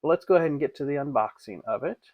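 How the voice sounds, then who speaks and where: A man's voice: on the high side, animated, rapid-fire, muffled recording. Casual man narrating a product review. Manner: informal, off-the-cuff.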